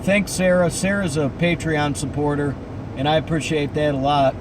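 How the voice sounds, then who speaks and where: A man with a somewhat high voice talks loosely to his livestream audience. He rambles and hesitates in relaxed slang, his throat a bit froggy. Loud outdoor noise, like vehicles, runs behind him. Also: moderate pace. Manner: rambling, hesitant, laid-back, slangy delivery. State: froggy throat, voice starting to give out. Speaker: livestream host and urban explorer. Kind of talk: casual livestream chat with viewers.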